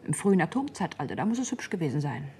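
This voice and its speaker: An actress playing a wry, questioning middle-aged bride-to-be in a radio drama, understated and probing. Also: female voice, fairly low pitch, big pitch swings, fast talker, dark tone, a little hiss or hum.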